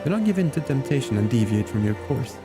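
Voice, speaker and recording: A man from Belgium reading game dialogue aloud as a formal, cautious fantasy gentleman with measured, archaic wording. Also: mid-range voice, very animated, over music, natural voice. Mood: grave, advising.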